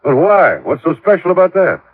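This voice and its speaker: An actor playing a puzzled captain asking plain questions in a 1950s radio drama. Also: male voice, sits high, big pitch swings, normal speed. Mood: curious. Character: puzzled, inquisitive male captain. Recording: dry, tinny old radio recording.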